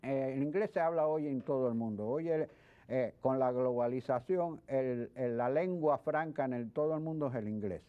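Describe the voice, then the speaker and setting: A man speaking as a guest expert in an interview, explaining things in a halting way with repeated words. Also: medium pitch. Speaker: guest expert, history professor. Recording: dull lo-fi sound.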